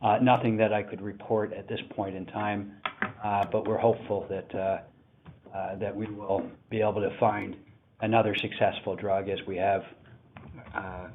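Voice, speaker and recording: A male company executive giving halting, measured, unscripted answers on an investor call. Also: on the low side, little pitch movement, slight background noise. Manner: halting, measured, frequent pauses. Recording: tinny phone line.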